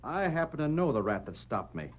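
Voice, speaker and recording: A man's voice: on the high side, very animated, a little fast. Desperate frontier townsman, blunt folksy insistence, muffled old radio drama sound. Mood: indignant. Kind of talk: 1940s radio western acting. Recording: dull vintage radio recording.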